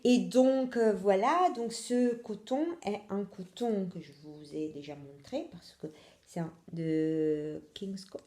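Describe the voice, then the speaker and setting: A woman's voice: mid-range voice, slow, mellow, reverberant. A young woman from Italy with an Italian accent, hosting a casual knitting podcast in French, hesitant with many fillers and self-corrections.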